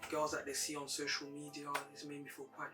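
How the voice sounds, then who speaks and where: A young woman with a naturally deep voice talks candidly and thoughtfully as an interview guest. Her delivery stays even and flat. She is recorded from a little distance, with clear music running underneath. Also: a little fast. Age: young adult. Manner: reflective and candid, speaking in a level tone. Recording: distant mic with music playing behind.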